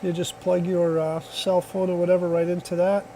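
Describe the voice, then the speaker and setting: A man's voice: high voice, flat delivery, normal speed, warm tone, a little hiss or hum, poor audio. A man giving a casual hands-on product demonstration in a plainspoken, folksy, reassuring way, recorded on a phone.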